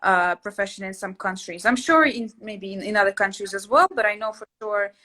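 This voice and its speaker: A woman's voice: medium pitch, fairly quick, pushed and bright. A young woman from Latvia whose first language is Russian, chatting casually as host with many fillers and restarts. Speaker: channel host, interior sketch artist. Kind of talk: casual conversation.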